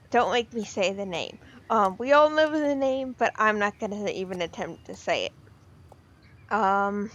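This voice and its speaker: A woman giving casual, excitable game commentary, rambling with fillers, her voice slightly off from the weather. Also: on the high side, dull audio. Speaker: game video creator.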